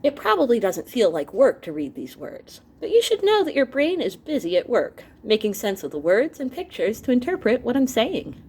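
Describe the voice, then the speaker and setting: A woman's voice: fairly high pitch, normal speed, hardly any pauses, slightly rough. A woman reading an educational book aloud as a teacher to students, expressively, speaking directly to her listeners with asides. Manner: animated, with asides and exclamations to listeners.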